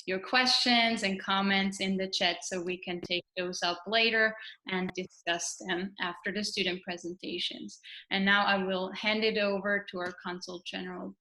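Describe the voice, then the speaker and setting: Bright-voiced woman moderating a video call. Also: mid-range voice, roomy sound, poor audio. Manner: friendly, filler-heavy instructions. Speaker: event moderator. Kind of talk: online event announcements.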